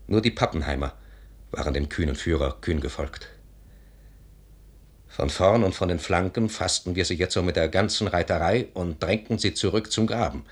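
Solemn military messenger, really deep voice, old german radio play, formal and declaimed. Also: male voice, husky. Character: grave, courteous army officer recounting a fatal battle. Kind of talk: 1950s German radio drama acting.

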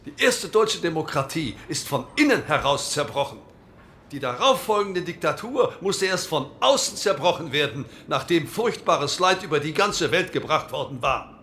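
An insistent postwar delegate. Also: male voice, on the high side, very animated, normal speed, pushed and bright, a little hiss or hum, heavily acted. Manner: oratorical, insistent, argues back when heckled. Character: postwar male parliamentary delegate, combative and earnest. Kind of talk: radio drama, parliamentary speech.

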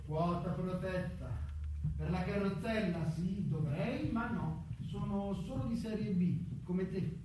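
A man's voice: high-pitched, slow pace. Guy acting bitter sardonic office worker in a stage play, keeps repeating himself, super echoey and noisy. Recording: echoey far-off stage recording, clear noise.

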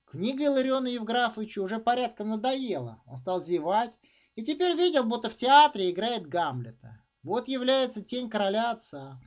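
Animated high-voiced man reading a story. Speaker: author reading own short story. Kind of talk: expressive literary narration. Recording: dull, muffled lo-fi sound.

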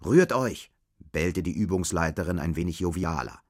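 A man's voice: low-pitched, expressive, moderate pace. A man narrating a scripted radio drama as its storyteller. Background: faint music.

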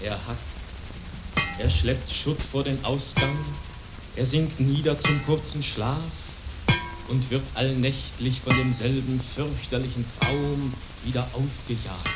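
A man's voice: medium pitch, steady tone, takes it slowly, loud music behind the voice, natural voice, poor audio. A man playing a solemn storyteller who recounts an old legend in a radio drama. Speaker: narrator. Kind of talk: scripted radio drama narration.

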